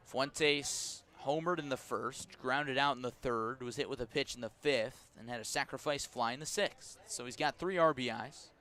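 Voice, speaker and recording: A man's voice: mid-range voice, normal speed, hardly any pauses, sharp tone. American man calling baseball play-by-play. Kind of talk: live radio sports commentary. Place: outdoor baseball stadium at night.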